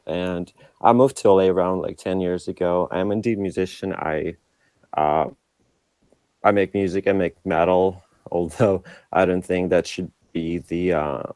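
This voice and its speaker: A man's voice: low-pitched, a little mellow, smooth, no room sound. A young man from Ukraine answering interview questions in a casual, hesitant way, with many fillers and restarts. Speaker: interview guest.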